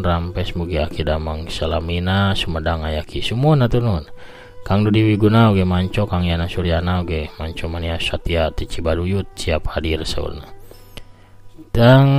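An older Sundanese man hosts a storytelling show in a low voice. He speaks in a folksy, conversational and expressive manner, with light music behind him. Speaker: folk tale storyteller and host. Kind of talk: expressive storytelling and reading out listener messages.

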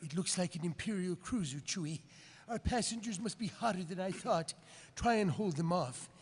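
A man's voice: high-pitched, animated, normal speed, slight background noise. A man voicing a brash, hammy cartoon space smuggler in a live comic script reading. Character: swaggering high-voiced cartoon take on a macho space smuggler pilot. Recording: echoey convention hall PA.

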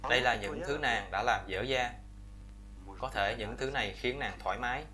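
A man's voice: medium pitch, moderate pace. A man reads a scripted voice-over translation for a fairy-tale cartoon. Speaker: voice-over translator reading all characters. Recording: voice-over laid over loud film soundtrack. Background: operatic music from the film.

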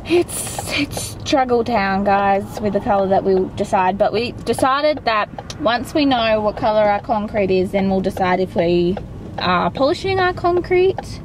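A woman's voice: medium pitch, expressive, a little slow, background noise. A young Australian woman vlogging casually on her phone, chatting to viewers in a store and a car. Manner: chatty, addresses viewers as guys. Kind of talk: casual vlog to camera. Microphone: phone at arm's length.

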